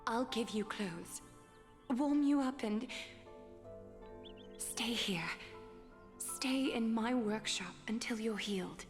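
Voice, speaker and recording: Gentle earnest goddess seamstress, soft solemn creaky voice, big reverb. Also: female voice, on the high side, takes it slowly, pauses often. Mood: tender, firm. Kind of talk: video game voice acting. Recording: long reverb, music behind. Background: game music.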